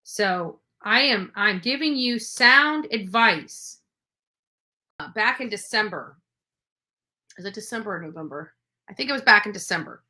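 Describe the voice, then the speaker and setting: A woman's voice: medium pitch, animated, a little slow, long pauses, bright, forceful tone. A woman giving unscripted, opinionated livestream commentary, emphatic and accusatory, repeating phrases for force. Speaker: livestream commentator on true crime cases.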